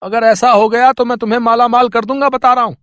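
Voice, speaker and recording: A man's voice: very high-pitched, steady tone, fast, fairly bright tone, no room sound, highs cut off. A man playing a wealthy village father in a storybook tale, blunt and folksy in manner. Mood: sceptical, bargaining. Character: sceptical, boastful, grateful village father, wealthy customer. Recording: music under the voice.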